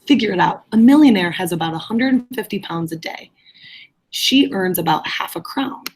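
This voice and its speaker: A woman playing an arrogant, brusque gentleman speech teacher in a play reading, imperious and scornful. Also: mid-range voice, expressive, moderate pace, husky, rough recording. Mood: calculating. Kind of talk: reader's theatre play reading.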